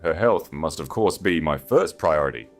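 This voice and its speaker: Haughty nobleman groom played by a man, formal and projecting, low but swooping, panic under forced poise, period romance audiobook. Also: lively intonation, moderate pace, gravelly, soft background music, full character voice. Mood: forced composure. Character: proud aristocratic groom, desperate, masking panic with false composure.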